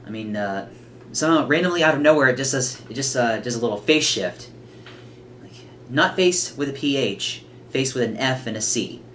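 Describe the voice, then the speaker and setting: Male reaction host, mid-range voice, halting casual commentary, faint noise behind. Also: medium pitch, expressive, fairly bright tone. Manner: halting, hesitant, rambling.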